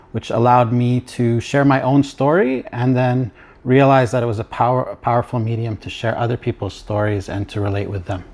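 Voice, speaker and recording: A man from Ottawa co-hosts a live podcast in a calm, considered manner. His voice is at a middling pitch for a man, and he lets his thoughts unfold slowly, with a few fillers along the way. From Ottawa, Canada. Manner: measured and reflective, with fillers. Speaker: podcast co-host. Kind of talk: casual live podcast chat.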